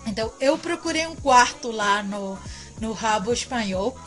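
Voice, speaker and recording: A woman's voice: on the high side, expressive, normal speed, a bit bright, soft background music. A woman from Mexico, whose first language is Spanish, chats to camera in a Mexican Spanish accent, rambling, repeating herself and tagging lines with "né". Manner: chatty, rambling, repeats phrases and tags with "né". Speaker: vlogger. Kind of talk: casual chat to camera.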